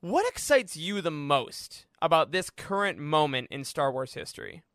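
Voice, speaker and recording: A man's voice: on the high side, big pitch swings, moderate pace, fairly bright tone, no echo, studio quality. A man hosting a podcast in a casual, upbeat, enthusiastic interview style.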